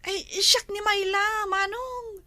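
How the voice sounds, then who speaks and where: A young woman with an Ilocano accent, acting in a comedy drama, bright and giggly in manner. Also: very high-pitched, fairly even intonation, slow pace, no echo. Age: young adult. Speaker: young woman back from the city.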